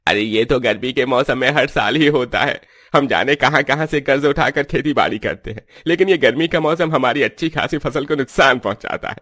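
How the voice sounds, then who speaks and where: A discontented village farmer played by a man in a cartoon moral tale. He grumbles in simple rustic words at an average pitch. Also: brisk, a bit bright, no room sound, close to an everyday voice. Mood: frustrated. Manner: grumbling, plain village speech.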